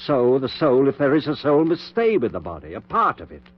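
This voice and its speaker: An actor playing an obsessed middle-aged surgeon in a 1940s radio horror drama, giving intense, clipped, commanding orders. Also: male voice, mid-range voice, wildly swooping pitch, brisk. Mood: fervent, insistent. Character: obsessed middle-aged male surgeon reviving his dead wife. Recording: muffled, noisy, humming 1940s radio recording.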